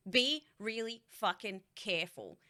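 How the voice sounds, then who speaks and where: Bright-voiced chatty young woman streamer. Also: mid-range voice, very animated, unhurried. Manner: chatty, blunt, swears freely, asks chat questions. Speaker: voice actor and streamer. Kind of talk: casual livestream chat to viewers.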